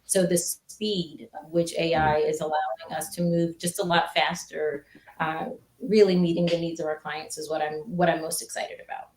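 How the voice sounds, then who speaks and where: Woman in an echoey room, lowish voice. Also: little pitch movement, normal speed, a little mellow. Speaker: HR leader, panelist. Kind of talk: panel introduction on a video call.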